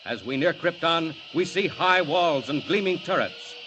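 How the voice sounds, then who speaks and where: Bright, high-pitched American 1940s radio announcer, very animated. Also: male voice, a little slow, soft background music, rough recording. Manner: grandiose, theatrical, emphatic delivery. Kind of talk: 1940s radio serial narration, dramatic scripted announcing. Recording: vintage 1940 radio broadcast.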